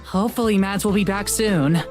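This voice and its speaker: A woman's voice: mid-range voice, moderate pace, a little mellow, close to an everyday voice. A woman playing a young, salty-tongued paranormal show host in a visual novel, hiding heartbreak under casual patter. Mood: showy cheer. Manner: casual, salty, performed cheer. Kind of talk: visual novel character acting. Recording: music behind the voice.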